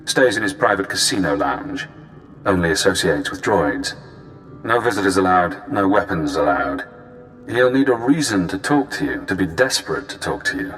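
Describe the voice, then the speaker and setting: An actor playing a cool, cunning spy handler in a video game, speaking in measured, cerebral, clipped phrases. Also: male voice, sits low, animated, fairly bright tone, soft background music, low-quality recording. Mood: calculating.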